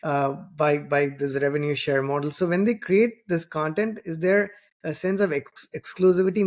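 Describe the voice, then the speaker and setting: Host role: man from southern India, Tamil first language, chatty interviewer who restarts often, slightly high voice. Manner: rambling, many fillers and restarts. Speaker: podcast host. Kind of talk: conversational interview.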